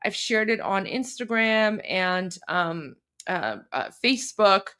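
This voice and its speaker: A woman's voice: mid-range voice, expressive, slow, a bit bright, clear, resonant tone. A woman hosting a casual livestream, chatty and full of fillers, Persian her mother tongue but speaking fluent English. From Canada. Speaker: livestream host.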